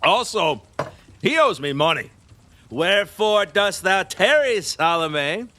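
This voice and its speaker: A man's voice: high-pitched, sing-song, slow, pushed and bright, heavily acted. A volatile, grandiose decadent king. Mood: boastful, indulgent. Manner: grandiose, volatile, swinging from murmurs to cries. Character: decadent, superstitious middle-aged king, lustful and erratic. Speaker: actor. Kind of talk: theatrical audio drama acting.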